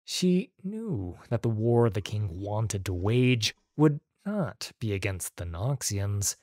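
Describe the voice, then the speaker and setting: A man narrating an audiobook story in a measured, expressive way. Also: fairly low pitch, dry recording, studio quality.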